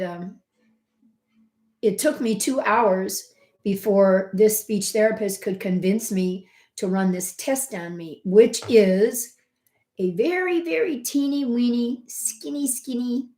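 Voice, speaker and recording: A middle-aged woman, a homeopath hosting her own health channel, telling a personal story in a chatty, conversational way with many fillers and asides, her voice swinging from loud emphasis to soft asides. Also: medium pitch. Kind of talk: casual personal storytelling to camera. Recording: roomy echo.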